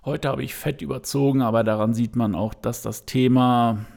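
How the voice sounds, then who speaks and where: A man with a gravelly, creaky voice at an ordinary pitch talks through his opinion to his listeners. He keeps pausing, restarting and filling gaps with small sounds. His tone stays earnest and direct throughout. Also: fairly even intonation, darkish tone. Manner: hesitant, many fillers and restarts. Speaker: podcast host. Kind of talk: unscripted opinion monologue.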